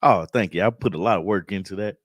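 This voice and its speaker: Laid-back man, low voice, joking. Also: quick pace, dry recording. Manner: playful, laughs often. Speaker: co-host of a live coffee chat show. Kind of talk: casual live chat.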